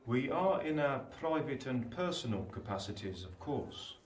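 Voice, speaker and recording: An actor playing a discreet, cautious clerk in a radio play, giving measured, emphatic, roundabout advice. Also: male voice, mid-range voice, very animated, slight background noise, lightly acted. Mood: reserved. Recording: roomy echo, distant from mic.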